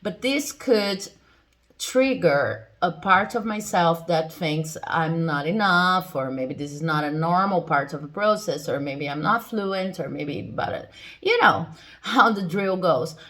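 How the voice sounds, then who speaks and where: Low-voiced woman reading tarot. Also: expressive, takes it slowly, fairly bright tone. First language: Portuguese. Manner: reassuring, explanatory. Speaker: tarot reader. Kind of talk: pick-a-card tarot reading.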